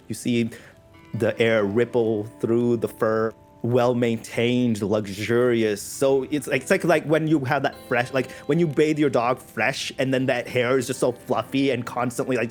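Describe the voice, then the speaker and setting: A man describing a scene in a tabletop game, building the picture slowly and lushly. His voice sits at an ordinary middle pitch. Soft music plays faintly behind him. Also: fairly even intonation. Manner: lush, slow scene-painting with casual asides. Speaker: player describing his character's throne room. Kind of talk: descriptive tabletop roleplay narration.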